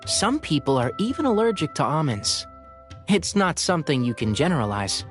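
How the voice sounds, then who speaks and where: A man voicing a sensible young forest ranger in a video game, matter-of-fact and gently lecturing. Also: fairly high pitch, very animated, moderate pace, soft background music. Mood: dry lecturing.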